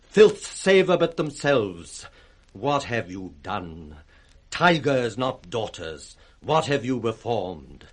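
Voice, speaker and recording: An actor playing a grave, morally outraged noble husband with a British accent, declaiming in 1960s radio Shakespeare style. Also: male voice, medium pitch, big pitch swings, slow pace. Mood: grave reproach.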